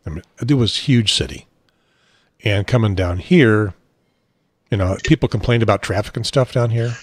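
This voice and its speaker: A middle-aged man with a Chicago accent chats casually as a talk show host, telling stories with dry humor. His voice is husky and fairly low. The sound is dry and close, with no room echo. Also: lively intonation, moderate pace. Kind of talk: casual chat.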